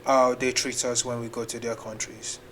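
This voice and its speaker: A man's voice: mid-range voice, little pitch movement, moderate pace, fairly bright tone, slight background noise, low-quality recording. A man hosting a reaction video, talking back at clips in a rambling, exasperated way. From Nigeria. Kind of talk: casual reaction commentary.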